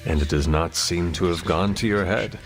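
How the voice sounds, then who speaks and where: An actor playing a solemn ancient warrior hero in a video game, speaking in a measured, formal, earnest way. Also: male voice, deep voice, over music. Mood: earnest, reserved. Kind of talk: video game dialogue acting.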